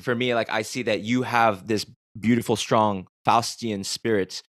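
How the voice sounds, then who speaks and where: A man's voice: on the low side, fairly even intonation, a little slow, fairly bright tone, no echo, studio quality. An American man hosting a podcast, talking reflectively and rambling a bit with restarts. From United States. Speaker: podcast host. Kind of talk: conversational podcast interview.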